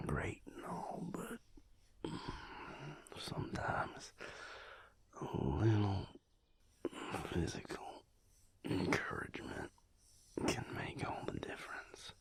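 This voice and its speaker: A man playing a tender, teasing vampire lover in an intimate audio roleplay, murmuring breathily and soothingly with drawn-out pauses, close to the microphone. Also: deep voice, heavily acted. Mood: tender, encouraging. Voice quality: breathy, gravelly whispered murmur.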